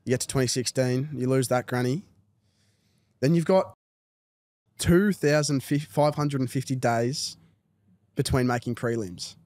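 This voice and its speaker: Australian male, twenties, podcast interviewer, average pitch, casual delivery, tight dry studio mic. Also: measured pace, long pauses, darkish tone, dry recording. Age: 20s. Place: small indoor podcast studio. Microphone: close broadcast mic on boom arm.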